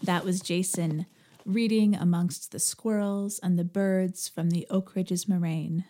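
Mellow-voiced woman hosting a podcast. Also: mid-range voice. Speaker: podcast host. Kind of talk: explanatory podcast narration.

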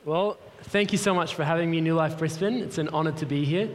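A man's voice: fairly high pitch, brisk, slight background noise. A young man with a Swiss accent giving an earnest, personal sermon as a guest speaker for a mission group in a church. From Switzerland. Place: large church hall. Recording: long reverb of a church hall.

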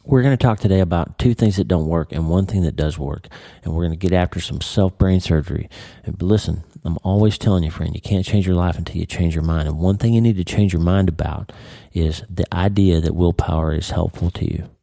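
Man, stuffy congested nose, very low dark voice, dry close sound, casual podcast chat. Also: a little fast, creaky, no room sound. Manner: conversational, repeats words while thinking. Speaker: podcast host and neurosurgeon. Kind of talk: casual solo podcast talk.

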